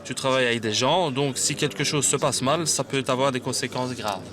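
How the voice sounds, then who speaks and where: A man's voice: mid-range voice, a little fast, a crowd in the background. A man reading a scripted first-person testimonial as a voice-over.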